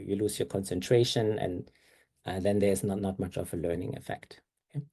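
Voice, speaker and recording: A man's voice: lowish voice, mellow. A man with a German accent, a native German speaker, giving a conference talk with slides in an explanatory way, with frequent fillers and self-corrections.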